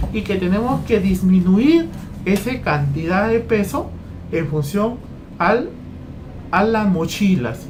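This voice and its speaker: A man's voice: high-pitched, background noise. A man from Peru training teachers, walking through a lesson plan and repeating phrases as he goes. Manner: repeats phrases, keeps asking "¿ya?".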